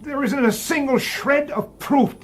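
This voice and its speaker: An actor in a radio drama playing an irritable, defensive detective and husband, gruff, blunt and impatient. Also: male voice, very high voice, a little slow. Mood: defensive, agitated.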